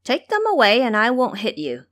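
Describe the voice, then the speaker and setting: A woman plays a proud male monkey trickster from an audio-drama legend. He is aggrieved and hot-tempered, declaiming in a high, highly animated voice. The sound is close and dry. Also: normal speed, no echo. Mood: imperious, taunting. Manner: declamatory, theatrical.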